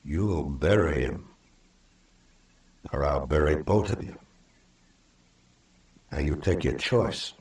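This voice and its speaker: A man playing a stern, weary Old West lawman in a radio western, speaking in measured, terse lines with dry sarcasm. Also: very deep voice, expressive, a little mellow, exaggerated voice. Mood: cold, sardonic. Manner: measured, terse, dryly sardonic. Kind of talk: old-time radio western acting and narration. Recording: distant, roomy old radio recording.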